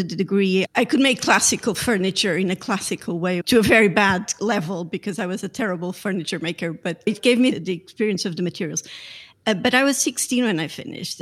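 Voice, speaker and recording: A middle-aged woman with a Portuguese accent, talking reflectively as an interview guest, with fillers and restarts. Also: medium pitch. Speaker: guest, art conservator. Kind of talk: casual interview talk.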